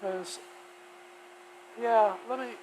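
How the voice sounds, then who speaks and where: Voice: mid-range voice, extremely slow. A hobby woodworker chatting casually on a live stream, rambling and thinking aloud in a folksy way while working. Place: home woodworking workshop. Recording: steady vacuum noise behind voice. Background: shop vacuum running.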